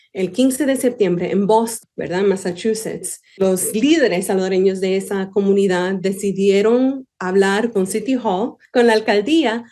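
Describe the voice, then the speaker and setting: A woman from New York answers interview questions as a history professor, her pitch sitting at an ordinary middle level. She talks conversationally and keeps tagging her points with a quick question. Her voice is plain and mid-pitched. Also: a little mellow. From New York, USA. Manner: conversational, tags statements with questions.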